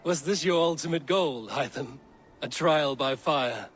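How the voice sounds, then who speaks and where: An actor playing a proud, loyal Viking warrior in a video game, speaking in a measured, solemn and formal way. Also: male voice, on the high side, a little hiss or hum, close to an everyday voice. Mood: proud, sincere. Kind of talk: dramatic video game acting.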